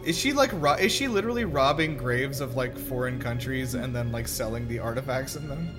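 A man's voice: mid-range voice, expressive, fairly quick. A man co-hosting a podcast, giving casual conversational commentary on a film. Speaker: podcast co-host. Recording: podcast with film soundtrack behind. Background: film audio and music.

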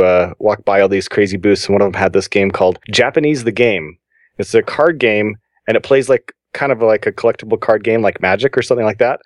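A man's voice: on the low side, no echo, clean studio sound. A man on a podcast panel, chatting with enthusiasm as he shares his picks. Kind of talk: casual podcast chat.

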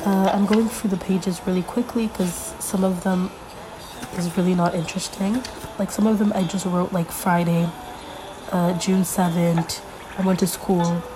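A young woman hosting a casual solo podcast, a little congested from being sick, rambling with lots of fillers as she thinks out loud. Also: lowish voice, fairly even intonation, normal speed, noisy background. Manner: rambling, filler-heavy, thinking aloud while flipping pages. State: congested from a recent illness. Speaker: podcast host.

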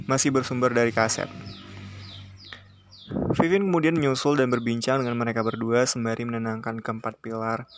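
A man reads literary first-person narration aloud in a measured, scripted way. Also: mid-range voice, slight background noise. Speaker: audiobook reader.

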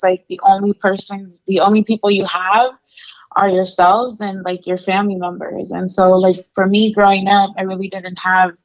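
A woman's voice: mid-range voice, muffled recording. A young adult woman from Mexico, a guest telling her life story in a casual, candid interview full of fillers. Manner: candid, conversational, many fillers.

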